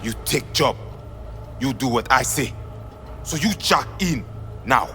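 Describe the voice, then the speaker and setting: An actor playing a curt, imposing gang enforcer with a Haitian accent, speaking clipped broken English in a video game. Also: male voice, mid-range voice, expressive, takes it slowly, bright, forceful tone, soft background music. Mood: commanding.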